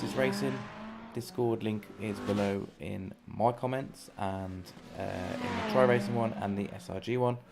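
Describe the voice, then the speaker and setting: A man giving casual, chatty live sim racing commentary as a stream commentator, with a gravelly voice. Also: mid-range voice. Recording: clear background noise on stream.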